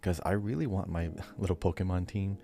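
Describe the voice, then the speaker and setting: Low, mellow man chatting casually. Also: a little fast, vocal fry. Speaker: podcast co-host. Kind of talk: casual chat.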